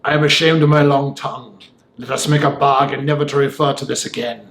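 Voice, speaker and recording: A man voicing an opinionated young gentleman in an audiobook, talking in a formal, emphatic, discursive way. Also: medium pitch, flat delivery, brisk, roomy sound, close to an everyday voice. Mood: defensive. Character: opinionated, talkative young Victorian gentleman, Mister Enfield. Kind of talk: audiobook character voice.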